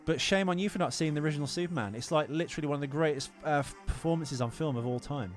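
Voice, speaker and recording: A man's voice: on the high side, rapid-fire, soft background music. A man co-hosting a casual film podcast, talking in an opinionated, rambling way with plenty of swearing. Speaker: podcast co-host.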